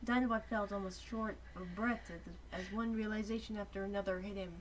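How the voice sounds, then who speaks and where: A woman's voice: mid-range voice, fairly even intonation, fairly quick. A woman reading fan fiction aloud informally as a co-host, giggly and often stumbling over her words. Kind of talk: informal dramatic reading with amused chat. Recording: very noisy home recording with clicks.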